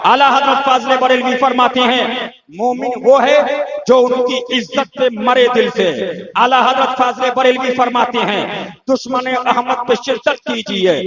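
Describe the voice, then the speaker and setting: A cleric, a man, delivering a fiery sermon in a declamatory way, chanting repeated parallel phrases. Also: extremely high pitch. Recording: echoing PA, muffled, crowd noise behind. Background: crowd of listeners.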